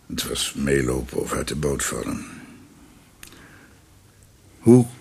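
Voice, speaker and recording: An actor playing a guilt-ridden older former judge in a radio drama, husky, halting and formal, a proud and guarded father. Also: extremely low pitch, big pitch swings, a little mellow, heavily acted. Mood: remorseful.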